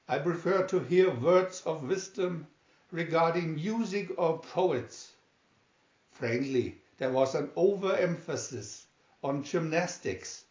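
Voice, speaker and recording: A man's voice: on the high side, darkish tone, roomy sound, lo-fi sound. A man reading the part of a refined, airy young Spanish nobleman in a storybook. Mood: affected disdain. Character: Don Diego, airy young Spanish nobleman feigning foppish timidity.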